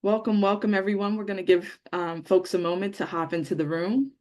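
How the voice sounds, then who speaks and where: A man's voice: sits high, steady tone, fairly quick, a little mellow. A man hosting an online webinar, upbeat and welcoming as he introduces a guest. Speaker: webinar host. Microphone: video call.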